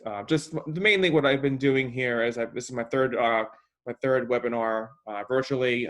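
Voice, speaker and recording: Halting man moderating a video call. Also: mid-range voice, a bit bright. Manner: hesitant, many fillers and restarts, mentions a stutter. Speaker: host and moderator. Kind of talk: casual webinar moderation.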